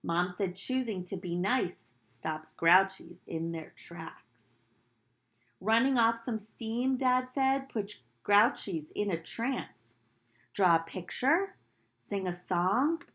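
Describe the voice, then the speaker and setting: Slow-paced woman reading to young children. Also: mid-range voice. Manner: deliberate, animated storytelling for small children. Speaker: nursery school teacher reading aloud. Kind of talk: expressive picture-book read-aloud for young children, with asides.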